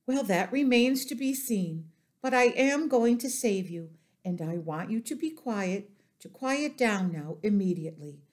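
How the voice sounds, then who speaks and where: A woman voicing a composed, motherly female spider in a storybook, brisk and firm. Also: mid-range voice, lively intonation, a little mellow, natural voice. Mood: brisk, reassuring.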